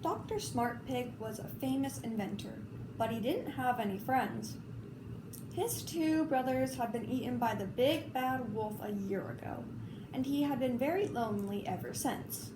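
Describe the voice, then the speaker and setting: A woman reading a children's story aloud in an expressive, informal way. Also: fairly high pitch, unhurried. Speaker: storybook reader. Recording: roomy, clearly noisy home recording.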